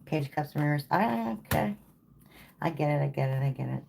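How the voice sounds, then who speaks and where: A woman's voice: low-pitched, animated, fairly quick, vocal fry, darkish tone, a little hiss or hum. A woman giving a live tarot reading to viewers, casual and chatty, tired and saying she is losing her voice. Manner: casual, repeats "okay?" and "like". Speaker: tarot reader.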